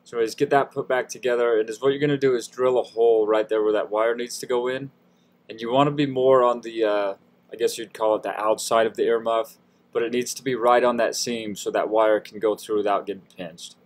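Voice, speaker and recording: A man's voice: mid-range voice, fairly even intonation, a little fast. A man giving a casual, folksy how-to voice-over in an informal, self-deprecating way. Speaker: DIY tutorial host.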